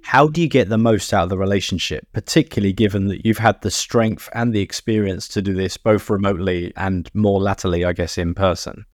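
Low-voiced male podcast interviewer. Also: fairly quick, few pauses, no echo, clean studio sound. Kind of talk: conversational interview questioning.

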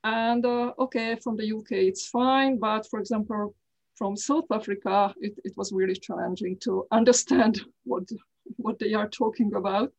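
A middle-aged woman from Hungary with a Hungarian accent, talking as an interview guest in careful, halting English with frequent restarts. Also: medium pitch, fairly even intonation, vocal fry, poor audio. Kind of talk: casual interview talk.

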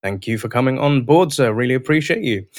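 A man's voice: mid-range voice, lively intonation, a little fast, no echo, studio quality. A man hosting a sales podcast, enthusiastic and polite, welcoming his guest and calling him sir. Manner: enthusiastic, sing-song intro, polite "sir". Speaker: podcast host.

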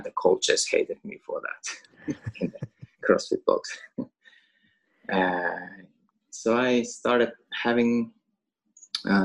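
A man's voice: low voice, creaky, fairly bright tone, low-quality recording. A Finnish man who is a gym owner and coach, chatting casually in English with a Finnish accent and laughing between phrases. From Finland. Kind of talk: casual podcast conversation.

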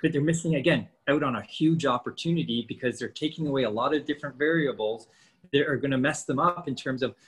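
A man's voice: mid-range voice, quick pace, fairly bright tone, husky. A man in his fifties co-hosting a casual podcast, explaining training and nutrition with lots of rhetorical questions. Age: 50s.

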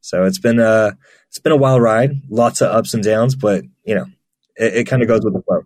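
A man giving relaxed, chatty answers as an interview guest, often restarting his sentences. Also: on the low side, moderate pace, dry recording. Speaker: guest, marketplace team member.

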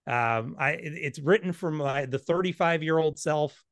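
A man's voice: fairly high pitch, normal speed, no echo. A man in his fifties, an author and financial planner, answering interview questions in a blunt, candid, self-deprecating way. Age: 50s.

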